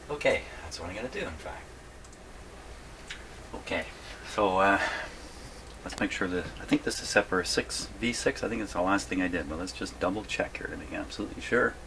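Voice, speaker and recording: Low-voiced older man, thinking aloud, noisy recording. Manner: rambling, self-deprecating, folksy. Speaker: vintage radio repair hobbyist presenting a video. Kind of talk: casual workshop demonstration, thinking aloud. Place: indoor radio repair workshop.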